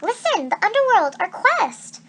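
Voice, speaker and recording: An earnest teenage boy hero from a storybook, read by a woman, pleads in a high voice. He is urgent and insistent. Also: big pitch swings, unhurried, a bit bright, exaggerated voice.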